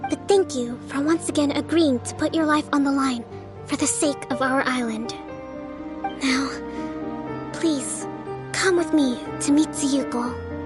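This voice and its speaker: Game casting: young priestess in command, high voice, composed, earnest, politely authoritative, music underneath. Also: female voice. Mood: earnest, pleading. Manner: measured, formal, polite and authoritative. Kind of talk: anime video game voice acting.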